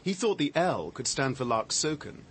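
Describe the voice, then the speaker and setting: A shaken, sardonic man, widely varied pitch. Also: very animated, a bit bright, breathy edge. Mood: cool, grim. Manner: halting, reflective, dry and sardonic. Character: shaken man recounting his discovery, defensive, sardonic. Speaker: radio drama actor. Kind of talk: radio drama dialogue.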